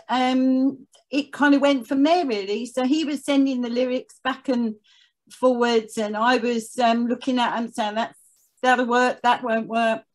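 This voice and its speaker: A woman's voice: fairly high pitch, rough recording. A woman speaking conversationally as an interview guest, telling personal stories. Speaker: podcast guest, charity chief executive.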